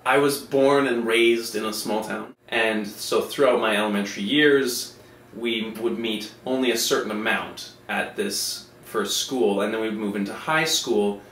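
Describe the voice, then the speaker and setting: A man's voice: on the low side, fairly even intonation, unhurried, a bit bright, reverberant, a little hiss or hum, rough recording. A man casually recalling his small-town schooling in a wry, blunt way. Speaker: interviewee. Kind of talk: casual recollection.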